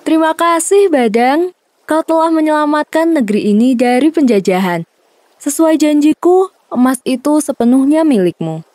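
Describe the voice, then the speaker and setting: A woman's voice: high voice, lively intonation, normal speed, a little mellow, dry recording. A woman playing a gracious, formal princess in a children's folktale drama. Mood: grateful. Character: gracious, worried young princess ruling her land.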